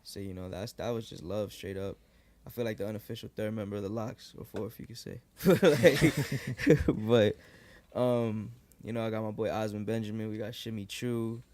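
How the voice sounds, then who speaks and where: A young man in his twenties from New York, a guest rapper chatting casually in a podcast interview, relaxed and loose with lots of fillers. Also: fairly low pitch, normal speed, no room sound. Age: 20s. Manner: relaxed, lots of likes and restarts. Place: living room podcast set, indoors. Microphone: boom studio mic.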